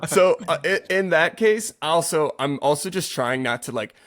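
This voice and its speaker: A man's voice: fairly high pitch, lively intonation, moderate pace, a bit bright. A man speaking as a yoga teacher and podcast co-host, explaining things earnestly in casual conversation and often correcting himself as he goes.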